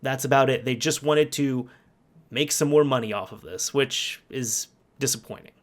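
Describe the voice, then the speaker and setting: A man hosts a casual gaming video in a conversational, emphatic way. His voice sits at an average pitch, and he sounds like an exasperated player explaining his complaints. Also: moderate pace. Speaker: YouTube game commentator. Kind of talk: casual video commentary on games.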